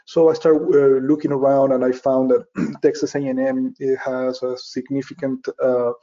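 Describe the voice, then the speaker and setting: A man's voice: medium pitch, level tone, dark tone. Hesitant middle-aged man from Venezuela. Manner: halting, many fillers and restarts. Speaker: guest, safety professional. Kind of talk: interview answer. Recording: dull lo-fi audio.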